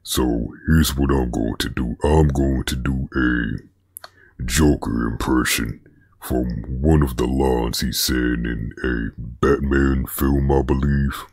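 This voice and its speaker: Brief: male commentary host, extremely low and husky, unhurried, dry close sound. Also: steady tone, darkish tone, dry recording. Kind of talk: commentary vlog.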